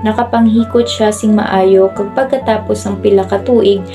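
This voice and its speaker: A woman's voice: medium pitch, steady tone, moderate pace. A woman with a Filipino accent reading scripted narration for a radio drama. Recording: music bed behind the voice.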